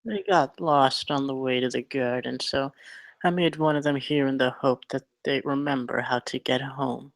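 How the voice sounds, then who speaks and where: Casting: male actor for wry, uncaring opium-den keeper, medium pitch, close dry recording, fantasy script read. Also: steady tone, normal speed, no room sound, lightly acted, rough recording. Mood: indifferent. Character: detached, wry male drug-den dealer with many hands. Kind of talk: screenplay table read.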